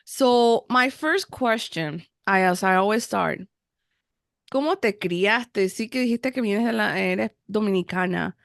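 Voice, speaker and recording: A woman podcast host chats casually with a medium-pitched voice in a dry, close recording. She moves between Spanish and English and tends to repeat words for emphasis in an effusive way. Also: animated, measured pace, creaky, no room sound. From United States, Miami. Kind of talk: casual bilingual interview chat.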